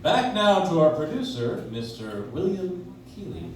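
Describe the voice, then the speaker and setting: A man's voice: fairly high pitch, sing-song, a little slow. A man announcing in 1940s radio style, reading a script live on stage, recorded from far off in a very echoey room. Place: live stage in a coffee shop. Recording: very echoey room, distant, faint noise.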